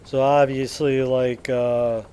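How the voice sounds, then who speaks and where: A man giving casual video game walkthrough commentary in a rambling, halting, matter-of-fact way. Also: medium pitch, level tone, dark tone. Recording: faint game engine noise behind.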